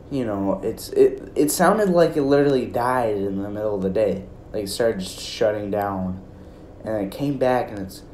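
A man's voice: mid-range voice, a little mellow. A young American man rambling casually and hesitantly through an informal commentary. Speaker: video creator. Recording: roomy echo, faint background noise.

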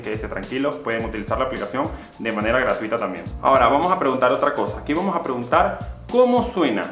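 A man's voice: mid-range voice, roomy sound. A young man from Venezuela, casually walking viewers through an app in a sponsored vlog. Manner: chatty, animated, gestures a lot while talking. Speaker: YouTuber. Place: small indoor room with posters. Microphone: camera-mounted shotgun mic at desk distance.

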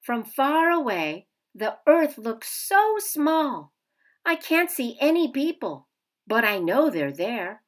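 A woman narrates a picture book for young children. She reads slowly and expressively, with a lot of rise and fall in her pitch. Her delivery is that of a storybook read-aloud. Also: big pitch swings.

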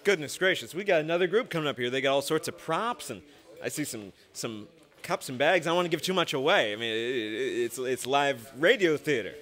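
A man's voice: medium pitch, expressive, brisk, a bit bright. A young man hosting a show in a casual, chatty, folksy way, ad-libbing into a stand mic. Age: young adult. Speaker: host. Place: small indoor studio. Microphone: stand microphone.

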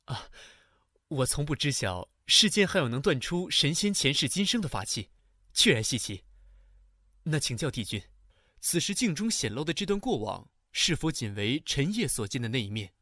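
A deferential young immortal played by a male actor with a bright, rather high, slightly husky voice. He speaks with curious, lively intonation in a fantasy audio drama. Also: normal speed, no echo, studio quality, heavily acted. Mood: curious, respectful.

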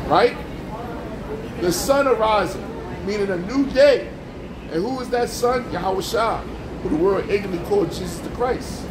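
A man preaching on the street, forceful and repetitive, firing rhetorical questions at passers-by. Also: sits high, normal speed, long pauses, reverberant, noisy background. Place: outdoors on a street with passing traffic.

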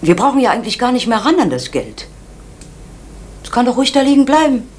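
A woman's voice: fairly high pitch, sing-song, fast. An actress playing a pragmatic, controlling middle-aged mother in an East German radio drama, urging and reproachful. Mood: persuading. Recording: old radio recording, faint noise.

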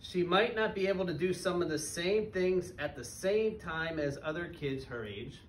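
Higher-voiced man reading aloud, roomy and distant. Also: normal speed. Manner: simple, child-directed phrasing with questions to listeners. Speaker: parent guest reader at a school. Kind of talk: reading a picture book aloud to young children, with asides and questions. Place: small elementary school classroom. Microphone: camera a few metres away, speaking through face mask. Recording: roomy, distant mic with background noise.

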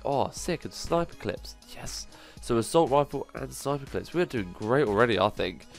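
A man with a cold, sounding nasal, giving excitable commentary as he plays through a survival game, full of quick exclamations. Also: mid-range voice, creaky, faint music behind. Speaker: gaming channel host. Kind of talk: gameplay commentary.

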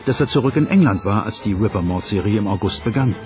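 A man's voice: on the low side, animated, fast talker, a little mellow, natural voice. A man doing a scripted documentary voice-over as an inquisitive investigator reasoning through evidence. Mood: inquisitive, serious. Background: background music.

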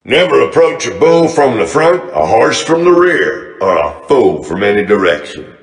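An actor playing a cocky, menacing Old West gunslinger with a Southern American cowboy drawl, delivering theatrical video game lines. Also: male voice, medium pitch, big pitch swings, moderate pace, roomy sound, in a large hall. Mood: folksy taunting.

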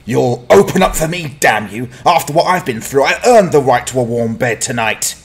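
An actor playing a hapless, wry adventurer who mutters and stammers in a dramatized fantasy audiobook. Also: male voice, medium pitch, expressive, normal speed, slightly rough. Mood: angry, demanding. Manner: muttering to himself, stammering, sardonic.

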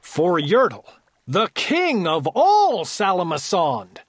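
A woman narrating a children's storybook aloud, reading the verse in an expressive, emphatic, theatrical way. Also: on the low side, slow pace, breathy edge, dry recording, clean studio sound.

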